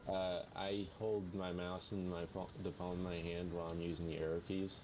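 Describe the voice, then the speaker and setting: Very deep male voice, dry wit, casual co-op banter, noisy recording. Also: little pitch movement, moderate pace. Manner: dry, wry remarks. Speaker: co-player. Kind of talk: casual multiplayer gaming chat. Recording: noisy game capture.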